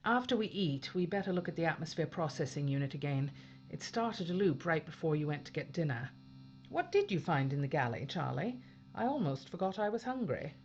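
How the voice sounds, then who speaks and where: Woman acting as weary, stubborn spaceship captain, animated delivery. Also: sits low, fairly quick, a little hiss or hum. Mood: dry, tired. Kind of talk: audio drama acting. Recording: dull band-limited audio with music underneath.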